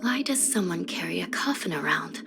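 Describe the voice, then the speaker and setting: A woman's voice: fairly low pitch, animated, gravelly. Weary, solemn young female judge. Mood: solemn, reflective. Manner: measured, grave, formal. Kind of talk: scripted video game character voice lines. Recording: music under the voice. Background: background music.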